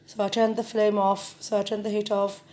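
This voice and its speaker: A woman's voice: medium pitch, normal speed. Chatty woman with roots. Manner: relaxed, chatty, many "like" and "basically" fillers. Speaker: home cooking vlogger. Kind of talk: casual cooking demonstration narration.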